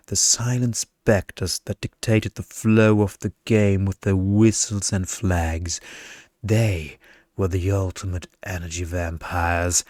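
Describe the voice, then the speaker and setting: A man's voice: deep voice, a little mellow, no echo, studio quality. A man reading a story as scripted ASMR narration.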